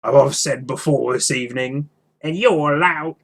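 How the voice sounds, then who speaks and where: A man's voice: medium pitch, unhurried, gravelly, voice pushed up. Man putting on a surly, brutish storybook troll. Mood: quarrelsome, angry. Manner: coarse rustic slangy talk. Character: big surly brutish male troll, suspicious and quarrelsome. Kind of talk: storybook character voice.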